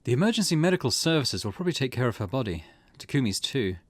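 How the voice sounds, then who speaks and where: A man's voice: mid-range voice, sing-song, fast talker, no room sound. A man hosting a let's play, chatting casually, thinking aloud and reading game text out as he goes. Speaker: gaming channel host. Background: game music.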